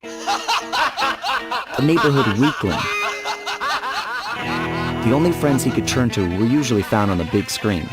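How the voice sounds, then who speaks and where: A cocky young raccoon thief from a cartoon game. He has an American accent and a cool, wry, nonchalant delivery at a middling pitch. Loud music plays behind him. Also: very animated, slow pace, pauses often. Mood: wry storytelling. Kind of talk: video game cartoon voice acting and narration.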